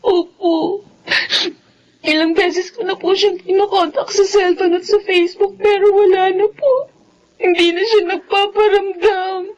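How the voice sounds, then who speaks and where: A woman's voice: extremely high pitch, fairly even intonation, a little slow, darkish tone, lo-fi sound, slight background noise. A woman playing an anguished, pleading young pregnant woman in a Filipino radio drama, with a Filipino accent. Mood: weeping, dejected. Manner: pleading, emotional.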